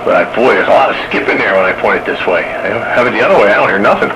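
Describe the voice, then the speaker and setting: A man's voice: on the low side, expressive, racing, heavy background noise. A man chatting casually as a radio hobbyist during a CB session. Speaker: radio hobbyist on a CB round-table.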